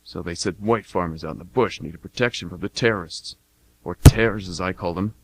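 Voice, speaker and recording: An actor playing a blunt, cocky American mercenary war veteran, talking in a folksy, plain-spoken way in a radio play monologue. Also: male voice, sits low, a little fast, heavy background noise. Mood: matter-of-fact, boastful.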